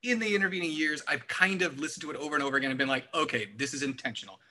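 A man's voice: fairly high pitch, racing, bright, forceful tone. A man talking casually through an album discussion, explaining his views in wordy, analytical detail.

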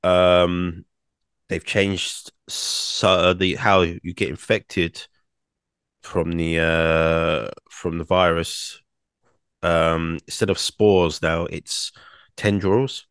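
Young guy on a podcast, deep voice, bit croaky, lots of uhs and restarts. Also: level tone, extremely slow, fairly bright tone, no echo, studio quality. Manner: rambling, many fillers and restarts. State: croaky from singing. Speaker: podcast co-host. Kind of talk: casual podcast chat.

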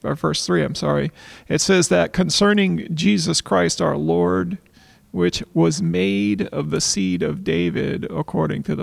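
Raspy, fry-heavy male co-host, slightly higher-pitched, thoughtful, casual discussion. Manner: thoughtful, searching for words with frequent restarts. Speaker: podcast co-host.

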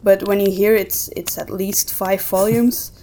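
A woman co-hosting a podcast in a casual book discussion, thinking aloud in a halting way as she gives her opinions. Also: medium pitch, fairly even intonation, mellow, a little hiss or hum, poor audio. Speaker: podcast co-host.

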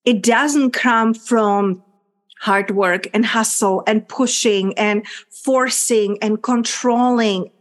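Czech-accented woman coach, podcast guest, earnest and gushing, a bit high-pitched. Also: fairly even intonation, takes it slowly. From Czech Republic. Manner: earnest, effusive, frequent "like" fillers. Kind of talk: candid interview chat.

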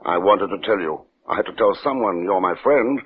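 An actor playing a driven, secretly frightened scientist, intense and insistent, in old-time radio drama style. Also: male voice, sits low, lively intonation, normal speed, slight background noise. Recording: muffled, phone-thin old radio recording.

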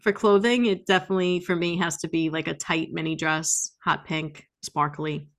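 A woman's voice: sits low, fairly even intonation, moderate pace. A woman co-hosting a podcast in casual chat, often hedging as she thinks aloud. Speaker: podcast co-host.

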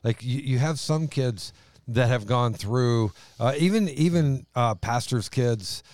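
A man's voice: medium pitch, animated, measured pace, darkish tone, no room sound. A man hosting a casual interview, using informal slang and frequent short agreeing interjections. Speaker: podcast interviewer. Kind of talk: casual chat.

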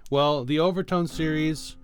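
A man's voice: a little high, steady tone, normal speed. Man patiently explaining on a podcast, music behind. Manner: informal, patient step-by-step explaining. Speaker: podcast co-host.

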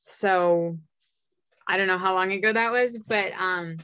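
A woman's voice: medium pitch, unhurried, a bit bright, clear, resonant tone. A young woman in casual conversation, talking with enthusiasm and frequent fillers and restarts.

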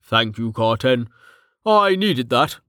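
A man's voice: mid-range voice, very animated, slow pace, fairly bright tone, clear, resonant tone, dry recording, studio quality. Man playing a troubled, hesitant family man. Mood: grateful, steadier. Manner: hesitant, brooding. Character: wary, troubled family man returning home, fantasy.

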